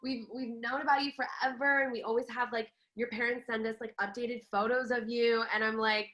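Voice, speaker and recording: A woman's voice: fairly high pitch, little pitch movement, fairly quick, a bit bright. A young woman from Los Angeles, a podcast guest who spoke Farsi first, chatting casually with lots of fillers and laughs. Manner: chatty, laughs often, many fillers and restarts. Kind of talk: casual chat.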